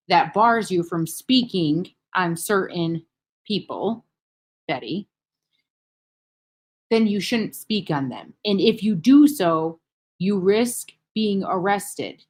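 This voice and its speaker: A woman hosting an unscripted reaction video, speaking in a blunt, mocking way with heavy swearing and emphatic repetition. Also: lowish voice.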